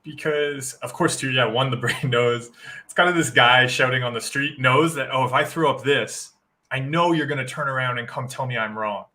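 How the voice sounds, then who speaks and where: A man's voice: mid-range voice, normal speed, sharp tone. A man who is a guest on a live chat, explaining his ideas in a reflective, encouraging way.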